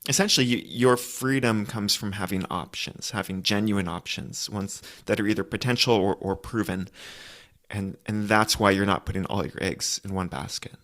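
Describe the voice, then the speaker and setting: A man's voice: on the low side, moderate pace, a bit bright. A man hosting a conversational interview, thoughtfully feeling his way through each idea as he speaks. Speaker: interviewer, host.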